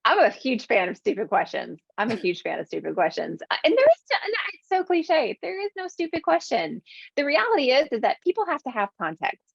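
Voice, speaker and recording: A woman's voice: a little high, big pitch swings, a little fast, vocal fry, husky, no room sound, lo-fi sound. A woman from the American South, a business owner answering interview questions with emphatic repetition. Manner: emphatic, repeats phrases for stress.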